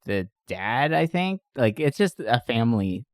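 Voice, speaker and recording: A man's voice: mid-range voice, very animated, a little slow, no room sound, clean studio sound. A man hosting a podcast, chatting casually about films in a rambling, profanity-laden way. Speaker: podcast host. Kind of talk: casual movie discussion.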